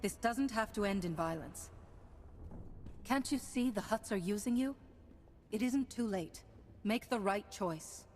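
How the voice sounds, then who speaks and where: An actress playing a stern, principled Jedi knight in a video game, speaking in a measured, earnest and commanding way. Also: female voice, medium pitch, animated, a little mellow, faint music behind, lightly acted.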